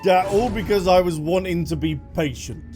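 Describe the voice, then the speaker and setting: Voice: mid-range voice, moderate pace. A gaming streamer giving casual commentary while playing. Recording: game music under the voice. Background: game music.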